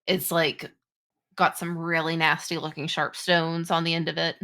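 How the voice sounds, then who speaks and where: A woman game master improvising narration and rules in a halting, conversational way. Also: low-pitched, little pitch movement, sharp tone. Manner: halting, conversational, pieced-together descriptions. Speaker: game master of a tabletop roleplaying game.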